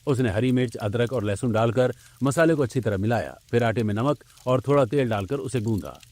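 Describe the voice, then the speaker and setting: A man's voice: mid-range voice, expressive, a little fast, darkish tone, slight background noise. A man narrating a scripted domestic story for a cartoon.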